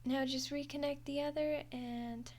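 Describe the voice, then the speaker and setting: A woman's voice: sits high, fairly even intonation, unhurried, a little mellow, slight background noise. A woman voicing a friendly, awkward undead skeleton girl in an audio roleplay, chatty and hesitant with a wry, defensive streak. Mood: friendly, sheepish. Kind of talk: audio roleplay monologue to the listener.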